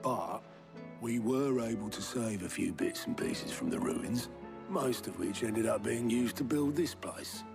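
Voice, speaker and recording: A British actor playing a gruff, wry middle-aged man in a fantasy video game, blunt and colloquial. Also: fairly low pitch, expressive, a little slow. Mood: wry, sober. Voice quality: gravelly, creaky. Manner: blunt, colloquial, dryly teasing. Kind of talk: cinematic video game acting. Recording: music behind the voice.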